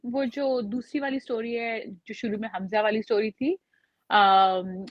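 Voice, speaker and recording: A woman's voice: on the high side, fairly even intonation, racing, dry recording, muffled recording. A woman from Pakistan co-hosting a casual review chat, slipping between English and Hindi-Urdu phrases. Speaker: co-host. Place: home in London.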